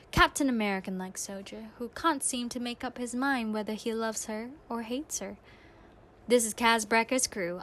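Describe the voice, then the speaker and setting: A woman's voice: medium pitch, fairly bright tone, slight background noise. A young adult woman hosting a podcast, delivering scripted radio-style talk and recommendations. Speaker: podcast host.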